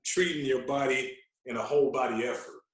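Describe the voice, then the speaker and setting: A very reverberant room surrounds this man's medium-pitched voice. He is a fitness coach guesting on a podcast, explaining things at length and punctuating his points with rhetorical check-ins. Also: steady tone, fairly quick, recorded from a distance. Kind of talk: podcast conversation. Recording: very echoey room.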